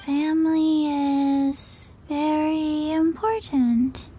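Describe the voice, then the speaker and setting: Tiny solemn zombie girl in a game, high childlike voice, talks super slow n deadpan. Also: slight background noise. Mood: flat, earnest. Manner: deadpan, halting, literal. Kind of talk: English video game voice acting.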